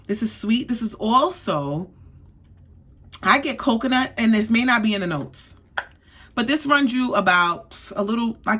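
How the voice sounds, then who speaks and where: A woman's voice: fairly high pitch, fairly bright tone. A young woman from the East Coast giving a chatty, sassy perfume review, often checking in with "okay?". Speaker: beauty vlogger.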